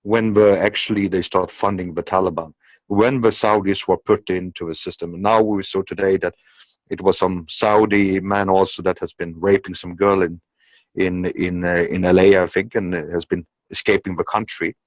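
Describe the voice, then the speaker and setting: A man's voice: low voice, fairly even intonation, moderate pace, dry recording, poor audio. A man, not a native English speaker, delivering an unscripted political monologue in an emphatic, insistent manner, often checking in with "okay?". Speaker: commentator.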